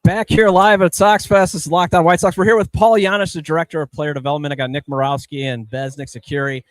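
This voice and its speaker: A man hosts a live sports interview. His voice sits a little high and he talks at a rapid clip as he questions his guest. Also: steady tone. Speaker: podcast host interviewing guests. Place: live at a baseball fan convention.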